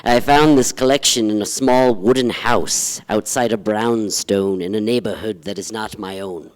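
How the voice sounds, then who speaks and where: An actor playing a pompous, wistful poetry-reading emcee, speaking in a solemn, florid way on a theatre stage. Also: male voice, fairly low pitch, moderate pace, lightly acted. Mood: pompous, courtly. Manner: pompous, ponderous. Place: theatre stage, live performance. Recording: reverberant live theatre hall.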